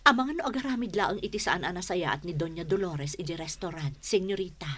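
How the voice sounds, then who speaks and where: Radio drama helper, female actor: low female voice, loyal, frank, earnest emphasis. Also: lively intonation, fast talker, lightly acted. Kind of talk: Philippine radio drama acting.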